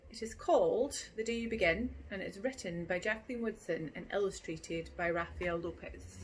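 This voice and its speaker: A woman reading a picture book aloud in a gentle, measured, expressive storytelling manner, like a teacher at storytime. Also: medium pitch, a little hiss or hum.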